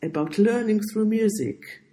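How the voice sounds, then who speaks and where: A woman hosting a podcast, asking measured questions and sharing short responses. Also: mid-range voice, warm tone. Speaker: podcast host. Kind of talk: interviewing.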